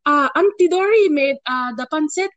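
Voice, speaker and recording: A woman's voice: high voice, animated, moderate pace, fairly bright tone, no room sound, voice pushed up. A woman voicing an elderly Filipino grandmother with a Filipino accent, puzzled and fussing over food in a hesitant, questioning way.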